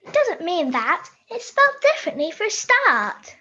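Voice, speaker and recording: A woman's voice: extremely high pitch, big pitch swings, normal speed, bright, forceful tone, exaggerated voice. A young woman voicing a chatty, unbothered female puppet in an amateur play over a video call. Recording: muffled video call audio.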